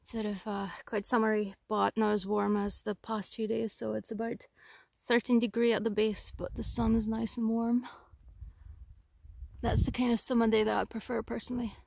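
A woman hiking outdoors and casually commenting on the trail and the views, often quiet and half-whispered. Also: fairly high pitch, level tone, moderate pace, vocal fry, no room sound. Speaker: hiking vlogger. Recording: outdoor noise behind.

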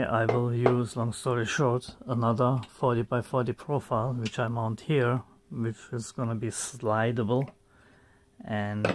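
Guy rambling slow about his build in his workshop, lots of ums and restarts. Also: mid-range voice, a little mellow. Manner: halting, thinks aloud with many fillers and restarts. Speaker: DIY maker showing his build. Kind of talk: unscripted workshop walkthrough. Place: home workshop.

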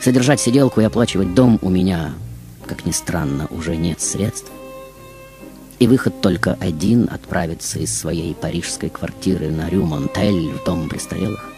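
An aged, theatrical film star, acted by a man addresses the audience in a stage monologue. The voice is low-pitched and the delivery deliberate and declamatory. Faint music plays behind the words. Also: warm tone. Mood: grandly ironic. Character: aged, reclusive, theatrical female film star looking back.

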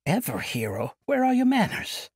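A man's voice: high-pitched, wildly swooping pitch, moderate pace, breathy edge, no echo, studio quality, pitched above the performer's own voice, heavily acted. A man voicing an eccentric, cheerful old monk with a sing-song, scatterbrained manner. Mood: jovial.

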